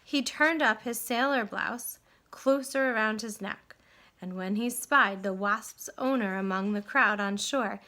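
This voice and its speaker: A woman reading a children's story aloud in an expressive, dramatic way with deliberate phrasing. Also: mid-range voice. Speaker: storybook reader.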